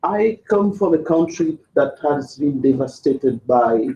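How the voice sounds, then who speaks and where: A man from Haiti, talking in a halting way with many fillers and restarts. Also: on the high side, animated, dark tone. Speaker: panel participant. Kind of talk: hesitant conversational English. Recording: roomy, slightly dull audio.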